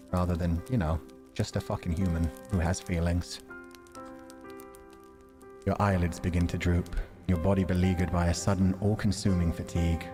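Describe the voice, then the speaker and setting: Low-pitched man streaming a visual novel. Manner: candid, informal, reflective. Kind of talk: expressive story narration mixed with casual commentary. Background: music.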